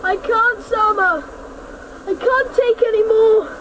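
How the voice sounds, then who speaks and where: A woman playing an earnest, defiant child in a radio drama, with intense, deliberate delivery. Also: very high voice, noisy background. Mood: pleading.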